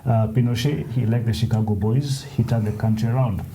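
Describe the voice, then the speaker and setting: A man co-hosting a casual panel talk show, explaining at length with frequent restarts and quick affirmations. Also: mid-range voice, steady tone, mellow, slight background noise. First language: not Italian. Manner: halting, many restarts and affirmations. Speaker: co-host.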